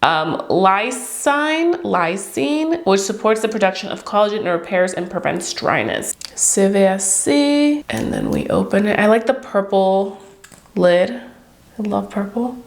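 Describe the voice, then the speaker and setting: A woman's voice: medium pitch, lively intonation, a little slow, vocal fry. Young woman, stuffy congested voice, beauty vlogger, chatty, upbeat, gushing. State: congested, stuffy-nosed from a cold. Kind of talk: casual product review to camera.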